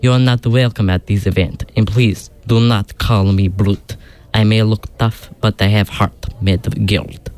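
Male actor as deep-ish Russian groom in his fifties, earnest, gentle-hearted, broken English, comic radio play. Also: fairly even intonation, a little slow, close to an everyday voice. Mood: stiff, earnest.

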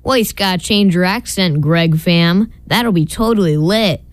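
A woman's voice: on the low side, measured pace, no echo, lightly acted. A woman voicing a slangy, upbeat male best buddy in a comic radio play. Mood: cocky, breezy. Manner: slangy, cocky.

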